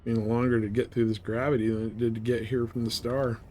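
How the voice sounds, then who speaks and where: A man's voice: medium pitch, flat delivery, brisk, mellow, a little hiss or hum. A man livestreaming a game, chatting casually and thinking aloud in a rambling way. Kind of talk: casual gameplay stream chat.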